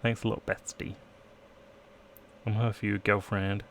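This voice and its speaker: Male game streamer, low register with plenty of fry, reading dialogue and narration aloud between playful asides. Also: little pitch movement, measured pace, a bit bright, a little hiss or hum. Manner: playful asides, trying out accents. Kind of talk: live let's play, reading game text aloud.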